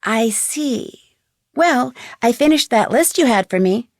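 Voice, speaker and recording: A woman's voice: on the high side, animated, slow, no echo, studio quality. A woman playing a curious, plucky young amateur detective in a video game, articulate and inquisitive as she thinks things through. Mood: focused, musing. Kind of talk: scripted video game voice acting.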